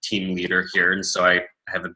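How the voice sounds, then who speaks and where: A man with a big, loud voice, a team leader casually talking through a business training. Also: deep voice, completely flat delivery, brisk, rough recording. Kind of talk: casual workshop talk.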